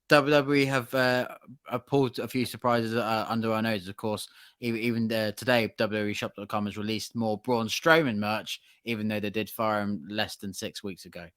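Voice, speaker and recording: A man hosting a wrestling news podcast, talking in a rambling, halting way with plenty of fillers. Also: fairly low pitch, a bit bright, no room sound. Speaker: podcast host. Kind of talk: casual news chat.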